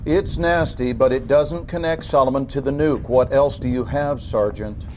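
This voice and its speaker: An actor voices a male interrogator in a military game drama. He is cool and in control, with a middle-pitched voice and dry, sardonic phrasing. There is noticeable ambience behind him. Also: moderate pace, darkish tone, natural voice. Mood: pressing, controlled. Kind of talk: dramatic game cutscene acting. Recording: clear background noise, game ambience.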